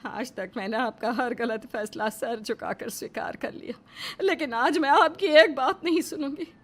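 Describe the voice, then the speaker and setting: A defiant Indian village mother-in-law played by a woman from a cartoon melodrama. Her voice sits a little high. She is protective and emphatic, standing firm in every phrase. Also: normal speed. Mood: defiant, pleading. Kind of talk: Hindi cartoon family melodrama.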